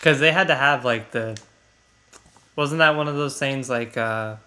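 A man's voice: mid-range voice, unhurried, pushed and bright, a little hiss or hum. A man playing a video game as co-host, chatting in a casual, offhand, sweary way. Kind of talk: casual let's play banter. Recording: game music behind the voice. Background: video game music.

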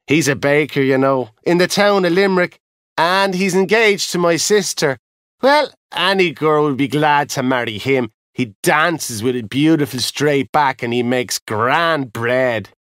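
A man's voice: fairly high pitch, fairly bright tone, dry recording, clean studio sound. A man doing a polite young hotel boy. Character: polite young Irish hotel boy, weary yet helpful.

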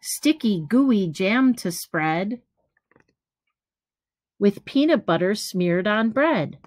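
Storybook reader: middle-aged woman, mid-pitched, unhurried, phrase-by-phrase delivery, dry close room sound. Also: lively intonation, dry recording. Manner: slow, deliberate reading with pauses between phrases. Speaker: teacher reading a picture book aloud. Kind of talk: children's storybook read-aloud. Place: small room at home, indoors. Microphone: webcam or device at arm's length.

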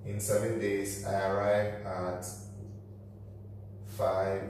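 A man's voice: lowish voice, monotone, takes it slowly, darkish tone, far from the mic. A man in his thirties giving a methodical whiteboard lesson, talking step by step through the figures in a small office room. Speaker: finance educator. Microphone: camera at a distance. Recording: echoey room, voice from off-mic, faint hum.

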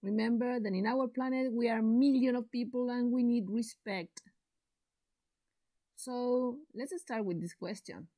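Woman, English teacher voice-over, slow and clear, higher voice, dry close mic. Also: mellow, no echo. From Chile. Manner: slow, deliberate, encouraging teacher talk. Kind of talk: slow recorded classroom lesson.

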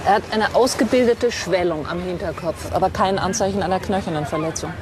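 An actress playing a matter-of-fact forensic pathologist in a German crime radio drama. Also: female voice, fairly low pitch, brisk, crowd noise, noisy background, close to an everyday voice. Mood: clinical.

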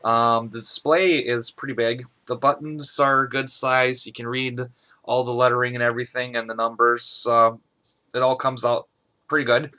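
A man's voice: medium pitch, little pitch movement, normal speed, pushed and bright. A young man chatting casually and a little hesitantly as he gives an informal hobbyist review, recorded in a small room. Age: young adult. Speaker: hobbyist video host. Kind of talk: casual product review chat. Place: small bedroom indoors.

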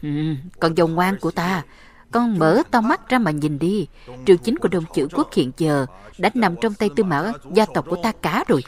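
A woman's voice: fairly low pitch, lively intonation, moderate pace, darkish tone, close to an everyday voice. A woman voicing an arrogant, scheming old lord with a haughty, gloating manner in a fantasy cartoon. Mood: smug, menacing.

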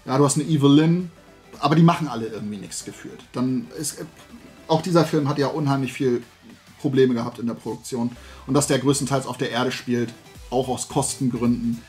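A middle-aged man hosting a casual, opinionated talk show, speaking colloquially in an animated ranting manner. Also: medium pitch, brisk, soft background music. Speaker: host. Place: small room at home, indoors, at a desk.

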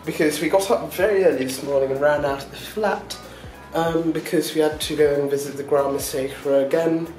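A man's voice: on the high side, steady tone, a little fast, hardly any pauses, a little mellow, recorded from a distance, faint music behind. Man chatting in an echoey room. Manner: rambling, chatty, with many fillers and short laughs. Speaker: vlogger. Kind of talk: casual daily vlog chat.

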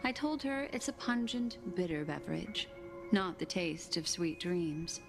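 A woman's voice: lowish voice, expressive, unhurried, creaky, music in the background. An actress playing a poised, mysterious woman who narrates like a storyteller in a game. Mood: poised, musing.

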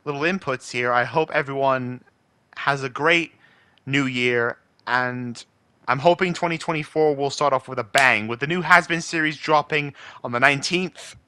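Bright-voiced man chatting casually. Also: medium pitch, moderate pace, clear, resonant tone. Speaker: voice impressionist. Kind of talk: casual chat to followers.

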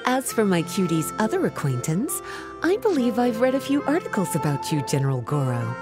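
A woman's voice: medium pitch, big pitch swings, fairly quick, music in the background, lightly acted. An actress playing a teasing, doting, worldly female mage librarian in a video game, coy and coaxing.

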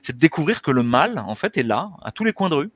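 A man's voice: on the high side, very animated, fast talker, no echo. A middle-aged man, a guest on an interview show, explaining ideas earnestly over a headset mic on a video call from a small room. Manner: earnest, gestures with his hands while explaining. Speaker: interview guest, anesthesiologist and author. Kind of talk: explanatory conversational interview talk.

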